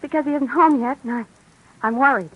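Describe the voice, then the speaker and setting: A woman's voice: sits high, a little fast, dull audio, crowd noise, close to an everyday voice. A woman playing a worried, pleading wife. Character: anxious young wife waiting up for her husband. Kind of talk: 1940s radio acting.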